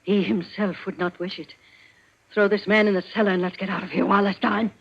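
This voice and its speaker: A woman's voice: medium pitch, steady tone, brisk, creaky, close to an everyday voice. An actress playing a fierce, imperious woman of a criminal gang in a radio melodrama, with a demanding manner. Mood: resigned. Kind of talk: old radio melodrama acting. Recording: dull, lo-fi old radio recording.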